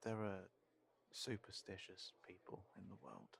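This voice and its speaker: Hesitant, low-voiced man, slow and thoughtful, acted. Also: very animated, creaky, fairly bright tone, exaggerated voice. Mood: worried, hesitant. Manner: hesitant, halting, thoughtful, trailing off. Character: cautious, worried man in a fantasy world, protective of companion. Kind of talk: intimate audio roleplay acting to one listener.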